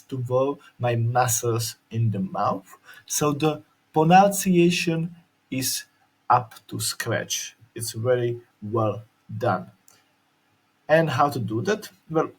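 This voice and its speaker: Slovak man talking haltingly, slightly husky. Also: mid-range voice, rough recording. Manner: halting, repeats and restarts words, careful articulation. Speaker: video creator. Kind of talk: casual instructional vlog. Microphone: headphones with mic.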